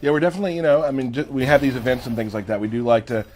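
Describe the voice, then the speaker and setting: A man's voice: medium pitch, steady tone, racing, darkish tone. A man hosting a casual game livestream chat, recorded with some background noise. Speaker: host on a game developer's livestream.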